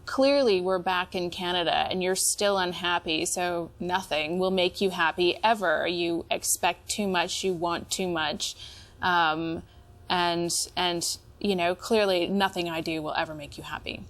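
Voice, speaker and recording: A woman speaking as a client in couples therapy, explaining her feelings in a reflective, halting way. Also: lowish voice, steady tone, fairly bright tone. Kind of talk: reflective personal conversation.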